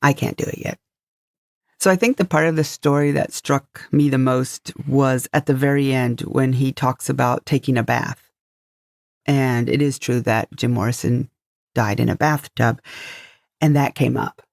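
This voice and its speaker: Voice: mid-range voice, steady tone, unhurried, gravelly, a little mellow, no room sound. Dry-sounding co-host in casual commentary. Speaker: podcast co-host.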